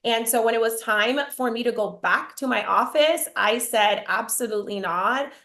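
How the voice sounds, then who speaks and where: A woman's voice: on the high side, normal speed, fairly bright tone. A woman from Miami, born in Cuba, presenting her business in a bubbly, gushing way. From Cuba, raised in Miami. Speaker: network marketing presenter. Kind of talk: promotional live presentation.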